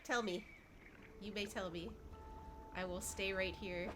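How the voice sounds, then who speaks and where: A woman's voice: medium pitch, steady tone, measured pace. A woman streaming a video game with a stuffy, congested nose, chatting and giggling playfully with her viewers. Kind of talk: casual live-stream chat while gaming. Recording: game music playing under voice. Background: game music.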